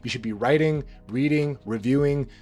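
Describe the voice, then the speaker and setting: A slightly hoarse man talks through his thoughts at an easy, rambling clip, circling back and restarting phrases as he goes. His pitch sits in an ordinary middle range. Soft music plays faintly under his voice. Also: normal speed. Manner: relaxed, rambling, frequent restarts and fillers. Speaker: book channel host. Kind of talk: rambling casual monologue to camera.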